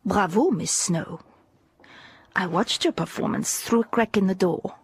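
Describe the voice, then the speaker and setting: A woman playing a shrewd, commanding middle-aged headmistress with a French accent, clipped and measured, in a period radio drama. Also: medium pitch, expressive, breathy edge, full character voice. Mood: appraising, approving.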